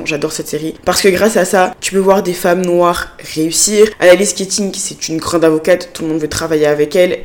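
A young woman from Ivory Coast hosts her podcast alone, in a casual monologue. Her speech is lively and open, with no regional accent. She slips easily into English phrases between her French sentences. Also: fairly low pitch. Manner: animated, switches into English phrases. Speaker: podcast host.

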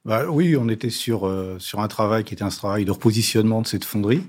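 A low-voiced man in his sixties talks quickly in a husky tone. He is a company president on a business radio show and answers with confidence, though fillers break up his speech. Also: darkish tone. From Paris, France. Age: 60s. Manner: confident, hesitant with frequent fillers.

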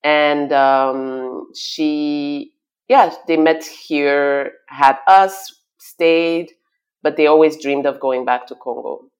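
A woman's voice: low voice, fairly even intonation, very slow, darkish tone. A woman raised in Belgium, guest in an interview, talking about herself with many fillers and restarts. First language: French. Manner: hesitant, many fillers and restarts, laughs often. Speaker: guest, stylist. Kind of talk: conversational interview.

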